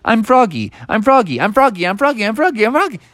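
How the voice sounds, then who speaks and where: A man voicing a cheerful, excitable young male frog with a high, squeaky, gleeful voice. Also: fairly quick, no echo.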